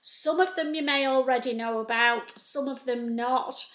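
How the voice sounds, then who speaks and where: A woman's voice: high voice, fairly bright tone. A woman in her fifties with a North Lancashire accent, chatting casually to her webcam from her home sewing room as a sewing vlogger. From England. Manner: chatty, unhurried, homely. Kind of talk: casual chat to camera and screen walkthrough.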